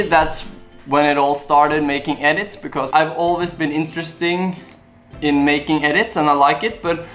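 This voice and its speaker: A man's voice: fairly high pitch, fairly bright tone. A young man from Norway with a Norwegian accent, a YouTube vlogger answering viewer questions in a casual, earnest, rambling way. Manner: earnest, rambling, simple phrasing. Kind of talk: casual Q&A talk to camera.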